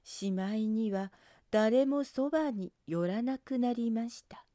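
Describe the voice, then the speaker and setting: A woman narrating a scripted picture book for children in a gentle, careful storytelling manner. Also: on the high side, slow pace, no echo, studio quality.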